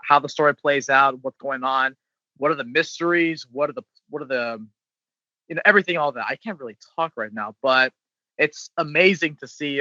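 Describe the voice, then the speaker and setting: A man with a bright voice speaking haltingly, repeating phrases while he looks for the right words. The recording is dull and muffled, with little room sound. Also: mid-range voice, no echo. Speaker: voice actor guest. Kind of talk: casual podcast chat.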